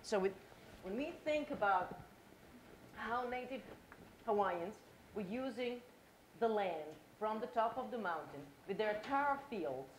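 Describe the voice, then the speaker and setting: A woman's voice: mid-range voice, lively intonation, measured pace, pauses often, slight background noise. A middle-aged woman from Croatia with a Croatian accent, giving a conference talk in a lecture hall and asking the audience questions. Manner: engaging, asks the audience questions.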